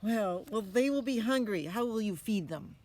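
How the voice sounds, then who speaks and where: A woman's voice: medium pitch, expressive, moderate pace, a little mellow, voice pushed up, lightly acted. An older woman voicing a doubtful mother in a storybook, questioning her young son in a pointed way. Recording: outdoor ambience with noticeable background noise.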